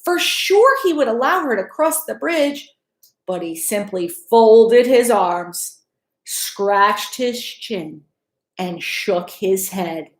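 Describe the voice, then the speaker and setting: A woman in her thirties reading a picture book aloud to children in an expressive, teacherly way. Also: medium pitch, takes it slowly. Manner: expressive, teacherly, checks in with questions. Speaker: school principal reading aloud to pupils. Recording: echoey room.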